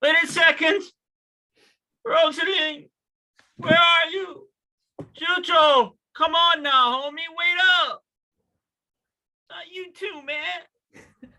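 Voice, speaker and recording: A man's voice: very high-pitched, steady tone, takes it slowly, long pauses, pushed and bright, heavily acted, poor audio. A man playing an anxious, needy, lovesick young man in a play reading over a video call. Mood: desperate. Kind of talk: table read of a play.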